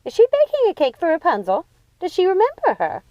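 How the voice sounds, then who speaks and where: A woman voicing a gleeful, mocking witch sister in a storybook, with excitable, screechy exclamations. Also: extremely high pitch, fairly quick, dry recording, muffled recording, pitched above the performer's own voice, heavily acted. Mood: indignant. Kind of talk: storybook character voice.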